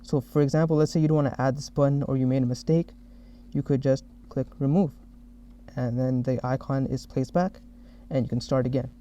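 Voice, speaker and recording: A man narrating a software demo as the developer who made it, explaining each step. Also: medium pitch, steady tone, fairly quick. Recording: dull, muffled lo-fi audio.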